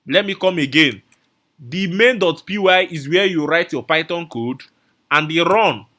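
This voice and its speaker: A man's voice: fairly high pitch, bright, forceful tone. A man teaching a live online coding class, repeating his instructions and calling out viewers' names from the chat. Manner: repetitive, coaxing, calls out viewer names.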